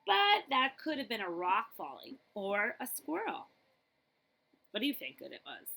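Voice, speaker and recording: A woman's voice: mid-range voice, gravelly. A woman librarian reading a picture book aloud to children expressively, with chatty asides and questions to her listeners. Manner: animated, chatty, pausing to ask listeners questions.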